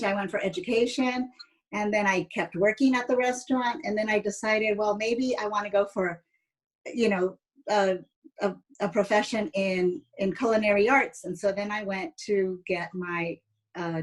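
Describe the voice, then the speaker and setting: A woman's voice: mid-range voice, rough recording. A woman in her fifties from San Jose, a chatty, explanatory interview guest telling personal stories in a casual way. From San Jose, United States. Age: 50s. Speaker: interview guest, educator and former pastry chef.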